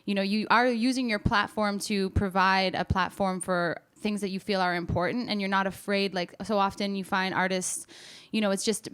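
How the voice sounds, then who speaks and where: A woman's voice: medium pitch, steady tone, normal speed, fairly bright tone, clear, resonant tone. A woman hosting a casual interview, speaking in an encouraging, affirming way. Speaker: interviewer and show host.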